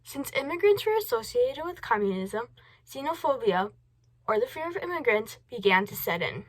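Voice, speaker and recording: A woman's voice: sits high, sing-song, moderate pace, rough recording. A woman reading a scripted news report as an amateur presenter, stumbling now and then over the script. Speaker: news presenter in a student history project.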